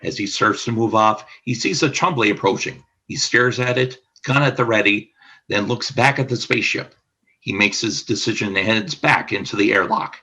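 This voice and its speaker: A man's voice: fairly low pitch, normal speed, bright, forceful tone, breathy edge, poor audio. A man reading scripted narration for a radio play, describing the action in the present tense.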